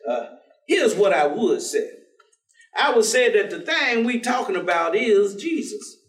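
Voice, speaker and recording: High, slow, folksy man of faith played onstage. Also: sing-song, a bit bright, exaggerated voice. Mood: earnest, preaching. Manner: folksy, colloquial, drawn-out delivery. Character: devout, folksy, teasing man, warm and stubborn. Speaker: actor. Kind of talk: staged reading of a play. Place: indoor theatre stage. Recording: roomy theatre, distant microphone.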